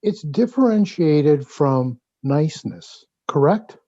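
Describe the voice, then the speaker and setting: Dull, dry call audio carries a man from Hawaii. His voice is mellow and a touch higher than average. He puts questions and comments to a speaker in a measured, conversational way, pausing to think. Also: animated, no echo. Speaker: audience member asking a question. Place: online video call.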